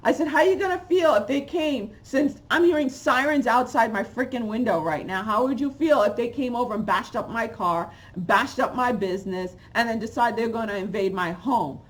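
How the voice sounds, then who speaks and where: A fired-up woman rants into a close webcam at home. Her voice sits fairly high, jumping from loud outbursts down to low, muttered asides. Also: moderate pace, hardly any pauses. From Haiti, raised in New York. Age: 40s. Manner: animated, swings from loud exclamations to low asides. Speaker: channel host. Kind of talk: opinionated vlog rant. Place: home room with bookshelves, indoors. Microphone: webcam at close range.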